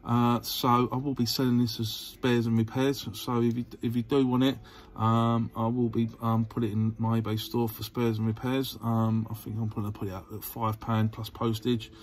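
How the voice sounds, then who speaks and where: A man's voice: mid-range voice, little pitch movement, normal speed. A man giving a casual how-to demonstration as a channel host, rambling and hesitant, often repeating himself. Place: indoors at a workbench.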